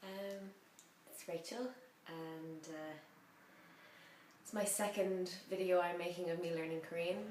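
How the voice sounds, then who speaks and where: A woman's voice: on the low side, fairly even intonation, a little slow, a little mellow, a little hiss or hum. A half-French woman vlogging about learning a language in a casual, rambling, self-correcting way. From half French, French mother. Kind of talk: casual chatty vlog. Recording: roomy echo.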